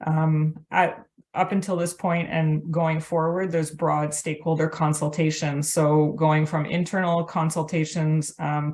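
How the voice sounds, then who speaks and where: A woman walking through slides at a workshop, pausing often on ums and uhs as she explains. Her voice sits very low and stays level, with little rise or fall. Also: a little mellow. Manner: hesitant, with frequent ums and uhs. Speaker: workshop presenter. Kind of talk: presenting slides at an online workshop.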